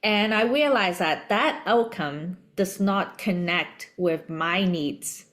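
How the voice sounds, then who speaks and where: A woman's voice: mid-range voice, takes it slowly, fairly bright tone. A woman from Hong Kong whose native language is Cantonese, speaking English as an interviewer in a reflective conversation.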